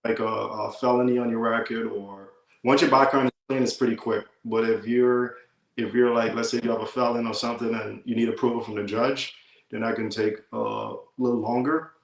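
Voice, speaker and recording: An easygoing young man with a gravelly edge to his voice. He talks in a relaxed, chatty way, recorded in an echoey room. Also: mid-range voice, a little fast. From Bahamas. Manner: easygoing, says "man" often. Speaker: guest. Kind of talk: casual interview chat.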